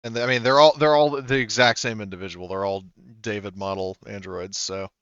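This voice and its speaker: A man's voice: lowish voice, steady tone, brisk, fairly bright tone, smooth, no room sound, muffled recording. A man running a tabletop game as game master, talking casually and explaining rules, with some swearing.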